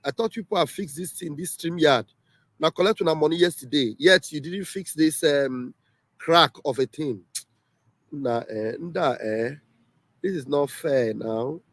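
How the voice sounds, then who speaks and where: A man's voice: fairly high pitch, unhurried, no echo. A man hosting a casual livestream, emphatic and repeating himself often. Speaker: livestream host. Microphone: home livestream setup.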